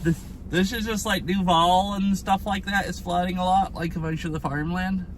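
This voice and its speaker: A hoarse young man streaming outdoors, chatting casually and rambling with lots of fillers. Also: high-pitched, fairly even intonation, moderate pace, background noise. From Washington state. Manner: rambling, filler-heavy, with frequent "like". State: hoarse from lost voice. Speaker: IRL streamer. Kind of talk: casual live-stream chat. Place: outdoors by a flooded river.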